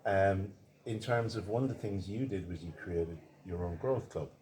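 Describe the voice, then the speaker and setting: A man hosting an interview as a conference organiser, agreeable and quick to chime in. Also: sits low, darkish tone. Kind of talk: casual interview chat.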